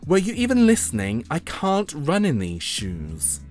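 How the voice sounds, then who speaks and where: A man's voice: fairly high pitch, huge pitch swings, measured pace, darkish tone, faint music behind. A man voicing a vain, fashion-obsessed schoolgirl from a storybook, whiny, sassy and dramatic. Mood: sassy exasperation.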